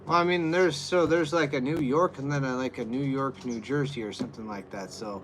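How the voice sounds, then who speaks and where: American guy, mid-pitched, rambling live-chat host with a faint hiss behind him. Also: fairly even intonation, fairly quick, fairly bright tone, rough recording. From USA. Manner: rambling, restarts with many fillers. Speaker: livestream host. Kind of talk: casual livestream chat answering viewer comments.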